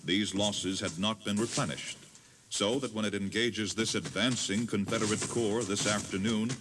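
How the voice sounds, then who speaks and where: A man's voice: lowish voice, fairly even intonation, moderate pace. A man giving solemn, theatrical scripted narration as a tour guide. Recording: noisy old cassette tape.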